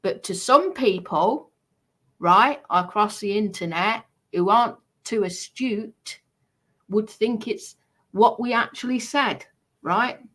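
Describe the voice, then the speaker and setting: Slow, deliberate woman chatting. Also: mid-range voice, fairly bright tone. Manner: deliberate, halting, repeats phrases for emphasis. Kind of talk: casual commentary.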